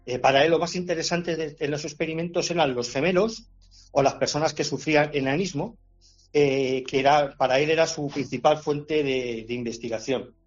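A man's voice: on the high side, moderate pace, highs cut off, faint music behind. A man answering as an expert guest, talking casually with frequent fillers and restarts. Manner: hesitant, many fillers and restarts. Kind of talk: conversational explanation.

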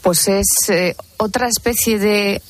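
A woman hosting a radio discussion, measured and reading out figures. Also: mid-range voice, a little hiss or hum. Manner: measured, reads figures and data. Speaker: radio talk show host.

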